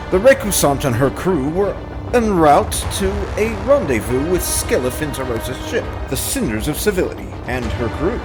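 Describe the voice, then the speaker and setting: A man hosting and narrating a podcast in a scripted, theatrical announcer style, showy and emphatic. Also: fairly high pitch.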